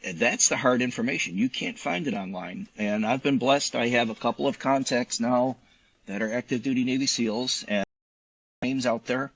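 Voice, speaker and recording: Husky-voiced man chatting in an interview. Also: mid-range voice, moderate pace, fairly bright tone, no echo. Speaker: guest, physician and novelist. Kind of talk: casual interview conversation.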